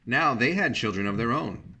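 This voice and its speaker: A man reading a picture book aloud like a teacher, in measured narration with brief asides about the pictures. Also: mid-range voice, expressive, sharp tone, breathy edge.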